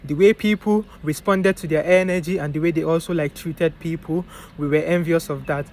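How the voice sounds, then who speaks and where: A man's voice: on the high side, fairly even intonation, moderate pace, darkish tone, a little hiss or hum. A young man giving a preachy motivational talk, answering his own rhetorical questions and calling the listener bro and man. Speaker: motivational vlogger. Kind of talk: casual motivational monologue.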